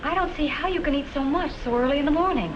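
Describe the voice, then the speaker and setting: Actress as high-pitched pushy college girl, old film. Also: fast, lightly acted. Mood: bossy. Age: young adult. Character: self-centered, pushy, lively young college woman. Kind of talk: 1950s educational film acting. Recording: noisy, muffled old film soundtrack.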